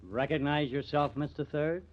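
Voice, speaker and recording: An actor in an old-time radio crime drama playing a bitter, sardonic newspaper artist who has turned murderer. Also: male voice, on the high side, moderate pace, natural voice. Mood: sardonic, taunting. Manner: sardonic, menacing. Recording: muffled vintage radio recording.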